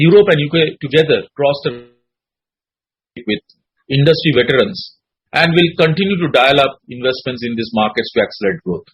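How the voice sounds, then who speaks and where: A man reads prepared business remarks in a formal, measured way on an earnings call. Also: mid-range voice, a bit bright. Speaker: company executive on earnings call. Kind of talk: formal scripted business presentation. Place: conference call. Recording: muffled, husky-sounding conference call audio.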